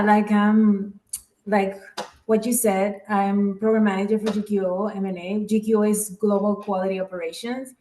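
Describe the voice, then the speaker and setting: A woman's voice: mid-range voice, slight background noise. Woman from the Dominican Republic, echoey. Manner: relaxed, many fillers like "like" and "um". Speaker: guest speaker, program manager. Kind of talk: casual webinar chat.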